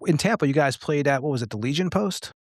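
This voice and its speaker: Fast-talking male podcast host. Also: fairly high pitch, lively intonation, no echo, studio quality. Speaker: podcast host interviewing a band. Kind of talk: casual music interview.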